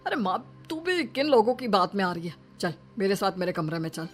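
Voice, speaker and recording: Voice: mid-range voice, sing-song, fairly quick, natural voice. An actor playing an overbearing, bullying middle-aged father-in-law in a story, sarcastic and domineering. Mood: irritated ordering. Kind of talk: dramatised Hindi moral story. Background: music.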